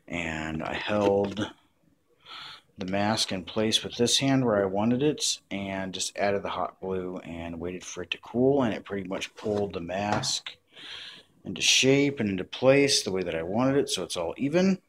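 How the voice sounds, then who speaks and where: A man's voice: on the low side, animated, unhurried, fairly bright tone, slight background noise. A man giving a casual hands-on craft tutorial, explaining step by step in plain words.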